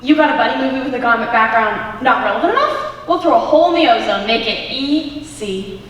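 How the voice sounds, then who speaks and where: A woman's voice: a little high, lively intonation, a little fast, fairly bright tone. A teenage student actress with an American accent playing a laid-back, unflappable young woman in a stage comedy. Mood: breezy. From United States. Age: teens. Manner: laid-back, reassuring, glib. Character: breezy, unflappable young woman, cheerfully philosophical. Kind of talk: one-act stage comedy acting. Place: school auditorium stage. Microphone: distant camera microphone. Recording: echoey auditorium recorded from far off, hiss.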